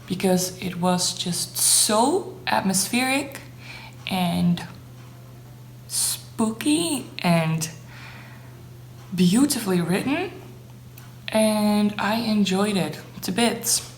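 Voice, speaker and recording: A young woman whose native language is Dutch talks to her viewers in a casual, gushing vlog style. She takes her time at an unhurried pace. The room is noticeably echoey, with faint noise behind her. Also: mid-range voice. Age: young adult. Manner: enthusiastic, gushing about things enjoyed. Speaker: book vlogger.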